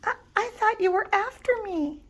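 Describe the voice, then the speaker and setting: Lady doing a squeaky scared wolf cub from a kids' book, stammery and way up high. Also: animated, moderate pace, a bit bright. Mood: stammering. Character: small scared young male wolf cub.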